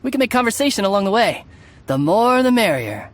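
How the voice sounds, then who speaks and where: A woman's voice: mid-range voice, big pitch swings, brisk, close to an everyday voice. A woman playing a sly, glib bandit posing as a merchant in a video game. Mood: falsely friendly. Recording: game music behind.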